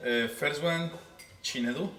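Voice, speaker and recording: A man's voice: on the high side, a little slow, pushed and bright. A man, a guest in a casual vlog, playfully and carefully sounding out unfamiliar words. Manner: playful, tries names carefully. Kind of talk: casual chat. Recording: echoey room.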